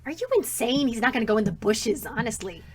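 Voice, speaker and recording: A woman plays a middle-aged mom in a comic audio drama, her voice a little high. She is practical and wry, slipping in deadpan, teasing quips. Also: quick pace, a little hiss or hum, lightly acted. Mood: exasperated. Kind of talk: audio drama comedy acting.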